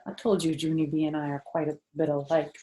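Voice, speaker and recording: An older woman reading a children's story aloud in an expressive narrating style. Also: sits low, a little fast. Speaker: storytime reader, former first-grade teacher.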